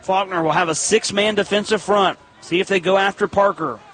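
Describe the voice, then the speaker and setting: American male sports announcer, very high pitch, forceful bright tone, excitable, emphatic, clipped football radio commentary. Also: fairly quick.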